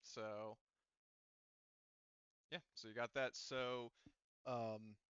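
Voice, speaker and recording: A man's voice: medium pitch, flat delivery, extremely slow, fairly bright tone, smooth, dry recording, muffled recording. A man running a tabletop roleplaying session as game master, talking conversationally and often searching for his words.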